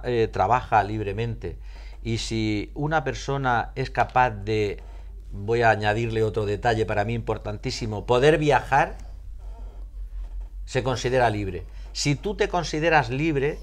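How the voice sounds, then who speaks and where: A man answers as an interviewee in an explanatory, teacherly style. He builds his points from rhetorical questions that he repeats, often halting to find the next word. His voice sits at an average pitch. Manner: halting, searches for words. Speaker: psychologist being interviewed.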